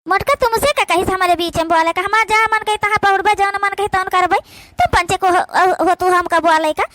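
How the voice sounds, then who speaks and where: Cartoon village daughter-in-law played by a woman: extremely high pitch, Awadhi accent, brash, indignant, argumentative, very dry close sound. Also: steady tone, a little fast, fairly bright tone, no room sound. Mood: indignant scolding. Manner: scolding, argumentative, rustic. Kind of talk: cartoon comedy dialogue.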